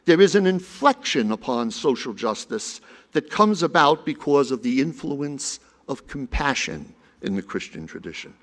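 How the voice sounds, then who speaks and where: Male conference speaker, lively pitch, earnest prepared reading. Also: moderate pace. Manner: earnest, expressive delivery.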